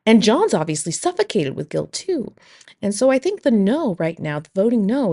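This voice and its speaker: A woman's voice: medium pitch, warm tone. A woman leaving a voice message as a listener, giving a thoughtful commentary in monologue.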